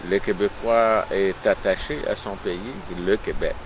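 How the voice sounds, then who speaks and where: Man, low-pitched, slow, halting and deliberate, unscripted testimonial, clear outdoor nature noise. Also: fairly even intonation. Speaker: local resident giving a personal testimonial. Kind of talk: unscripted personal political statement.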